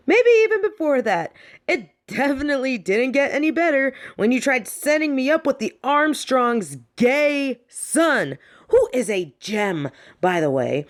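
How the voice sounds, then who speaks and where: A young woman acting in a scripted audio roleplay as a defiant, fed-up adult daughter of a snobby rich family, with a sardonic, emphatic delivery. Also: a little high.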